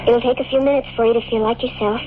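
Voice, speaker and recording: A woman acting an earnest, high-voiced radio-drama heroine. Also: fairly even intonation, rapid-fire, close to an everyday voice. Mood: soothing. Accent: American. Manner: intense, pleading. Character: earnest, urgent young woman, secret time traveler in love. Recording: tinny, hissy old radio broadcast recording.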